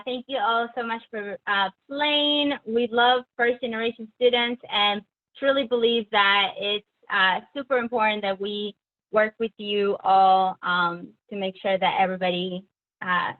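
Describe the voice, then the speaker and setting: A woman's voice: mid-range voice, steady tone, a little slow. A woman hosting a game at an online event, chatty and full of fillers. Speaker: event staff, game host. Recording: tinny phone-like audio.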